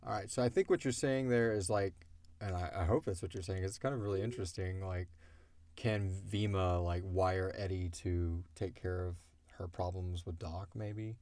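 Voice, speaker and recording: A man's voice: deep voice, fairly even intonation, moderate pace, darkish tone, no echo. A man talking in a casual, rambling, conversational way with lots of "like", as a show's creator answering listener questions. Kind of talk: casual Q&A discussion.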